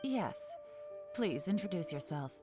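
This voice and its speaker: Low-pitched, poised, shrewd woman. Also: big pitch swings, over music. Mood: coolly questioning. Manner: composed, measured, businesslike. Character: poised, shrewd woman investigator, cool and in control. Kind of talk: anime-style game voice acting.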